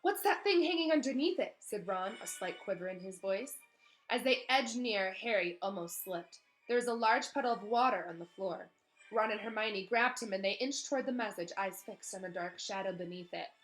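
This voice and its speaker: A woman with a medium-pitched voice reads a storybook aloud as a teacher would. Her delivery is expressive, with casual explanatory asides. Music can be heard in the recording. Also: moderate pace, rough recording. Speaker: teacher reading aloud to pupils.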